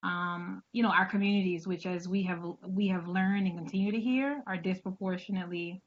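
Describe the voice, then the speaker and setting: A woman's voice: lowish voice, steady tone, fairly quick, lo-fi sound. A woman hosting a conversational interview in an enthusiastic, encouraging manner. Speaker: show host.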